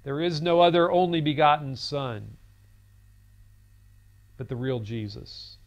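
A man's voice: fairly high pitch, lively intonation, unhurried, lo-fi sound. A man in his fifties giving a recorded seminary lecture in a studio classroom set, speaking earnestly and telling a story on a lapel mic. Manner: earnest, storytelling, gestures while talking.

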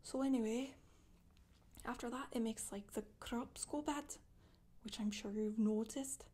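A woman playing a chatty, boastful witch in a soft-spoken ASMR monologue, rambling and gossipy, often slipping into a whisper. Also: on the high side, unhurried, husky. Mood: matter-of-fact, gossipy. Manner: rambling, gossipy, casual asides. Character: Scottish witch woman confessing, matter-of-fact, chatty, boastful. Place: small indoor room, seen seated.

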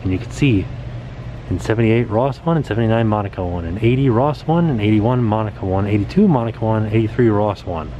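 Excited man touring a room on camera. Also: on the low side, fairly quick, lo-fi sound, slight background noise. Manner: excited, pointing things out. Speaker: vlogger. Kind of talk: casual walkthrough vlog. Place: indoor TV set replica, small room. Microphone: camera held at arm's length.

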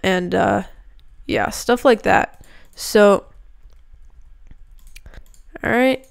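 A young woman talks over her gameplay with a slight roughness from a cold, rambling through fillers. Her middle-pitched voice is smooth and clear, close on a desk mic. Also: very slow. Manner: chatty, rambling with many fillers. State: sick with a cold, voice a bit rough. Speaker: gaming youtuber. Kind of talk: casual gameplay commentary.

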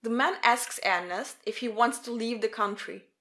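Woman narrator reading a story script, voice a bit high. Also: normal speed, fairly bright tone.

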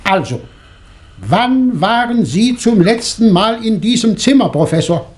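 An actor playing an inquisitive doctor and amateur detective, asking probing questions in a 1960s German radio crime drama. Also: male voice, sits high, very animated, a little slow, husky, exaggerated voice. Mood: probing, assured.

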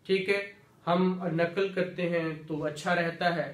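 A man's voice: high voice, steady tone, a little slow. A man in his thirties with a Pakistani accent teaches law, mixing in English legal terms, repeating key words and often checking that students follow. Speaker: law lecturer. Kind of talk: classroom lecture with questions to students. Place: small indoor teaching room with whiteboard. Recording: echoey room, mic at a distance.

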